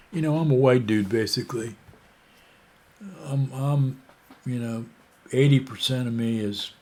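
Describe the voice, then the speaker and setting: An American man talks in an unhurried, rambling way, stopping often for fillers and restarts. His tone is indignant as he comments on the news, and his slow delivery lets each point drag out. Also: medium pitch, a little mellow. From United States. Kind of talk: casual opinion monologue.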